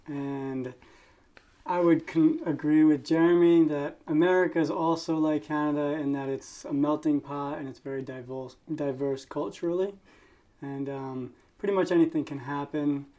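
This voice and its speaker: A man from New York speaking on a discussion panel, soft-spoken and a little hesitant, often trailing off. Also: on the high side, fairly even intonation, normal speed.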